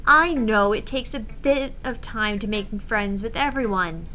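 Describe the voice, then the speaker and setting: A woman's voice: medium pitch, unhurried, bright, forceful tone, a little hiss or hum. A woman voicing a friendly, teasing teenage club girl in a visual novel read-aloud, chatty and encouraging. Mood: gentle, teasing.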